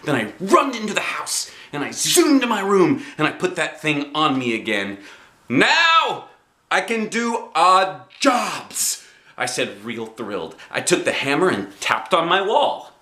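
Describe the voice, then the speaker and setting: A man reading a children's book aloud in an expressive storytelling style. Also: fairly high pitch, normal speed, few pauses, reverberant. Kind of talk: animated storybook narration.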